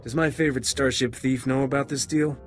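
An actor playing a cocky, flirtatious starship captain in a video game, wry and self-assured with a slightly husky voice. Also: male voice, mid-range voice, fairly even intonation, a little fast, soft background music, natural voice. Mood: wry, businesslike. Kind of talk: video game dialogue acting.

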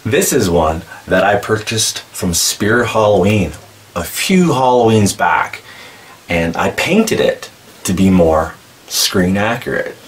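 A man's voice: on the low side, big pitch swings, slow, a little hiss or hum. A man in his forties talking casually and enthusiastically about horror cosplay props in a cluttered room, filmed from a distance. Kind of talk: casual show-and-tell vlog. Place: indoor room packed with horror collectibles.

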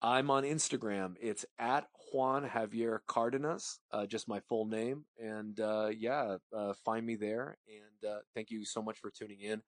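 Soft-spoken man with halting speech. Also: fairly low pitch, fairly even intonation, no echo. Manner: soft-spoken, hesitant, many uhs. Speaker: guest actor. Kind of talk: interview.

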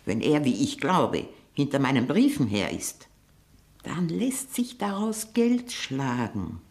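A scornful old aunt in a German radio play. Her pitch swoops widely as she scolds and schemes, biting and insistent. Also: sing-song, slow pace, darkish tone, full character voice. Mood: scolding, calculating.

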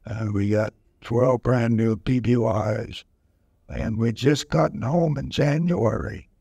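A man in his nineties slowly recalling wartime service in an oral history session, halting with many fillers, speaking into a handheld mic. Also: medium pitch, gravelly, mellow, slightly rough, no room sound. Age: over 90. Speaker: veteran interviewee. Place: museum event room, indoors. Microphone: handheld mic held close.

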